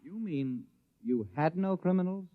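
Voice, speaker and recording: An actor playing a cynical, unflappable military general, dry, condescending and cool-headed, in old radio drama style. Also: male voice, sits high, lively intonation, a little slow. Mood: dry, resigned. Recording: dull, noisy old radio recording.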